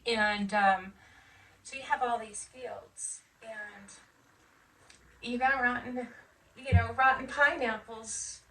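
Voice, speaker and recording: A woman's voice: on the high side, fairly even intonation, slow, long pauses, pushed and bright. A woman in her fifties chatting casually as she recalls her past in an interview. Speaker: veteran being interviewed. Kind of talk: casual recollection. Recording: distant mic with faint background noise.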